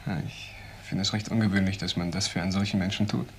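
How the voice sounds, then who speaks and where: Low-voiced, earnest young ex-convict. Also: male voice, steady tone, fast, slightly rough. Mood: polite. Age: young adult. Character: earnest, defensive young ex-convict recounting his story. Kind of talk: naturalistic German crime radio drama acting.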